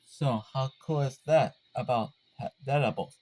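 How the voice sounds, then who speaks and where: A man hosting a casual hobby demonstration video, speaking in a halting, rambling, apologetic way. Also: medium pitch, darkish tone, poor audio.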